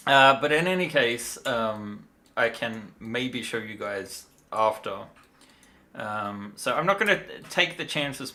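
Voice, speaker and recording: A man's voice: mid-range voice, sharp tone. A tired man talking casually and in a rambling way as he hosts a livestream demo. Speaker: livestream host, miniature designer.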